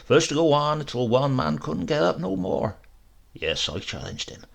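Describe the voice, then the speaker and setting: Man voicing a boastful old uncle, swooping pitch. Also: big pitch swings, moderate pace, gravelly, exaggerated voice. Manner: boastful, sly, savouring each phrase. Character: boastful old rural English uncle telling tall tales.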